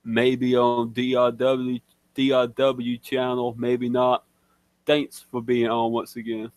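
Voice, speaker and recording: A young man hosting a casual podcast, speaking haltingly with many fillers. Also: medium pitch, monotone, no room sound. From Mississippi. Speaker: podcast host. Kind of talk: casual chat.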